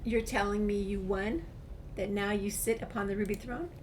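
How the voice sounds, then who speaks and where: A woman's voice: mid-range voice, fairly even intonation, moderate pace, darkish tone. A woman playing through a video game and reading the player's dialogue aloud. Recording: clear background noise, game ambience.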